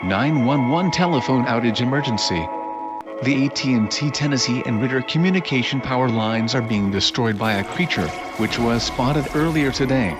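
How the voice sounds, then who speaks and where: A man's voice: mid-range voice, normal speed, music in the background. A man reading a scripted emergency announcement as an alert announcer.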